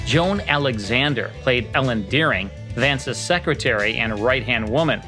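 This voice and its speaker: An American man reading scripted narration as the host of a podcast. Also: medium pitch. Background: music.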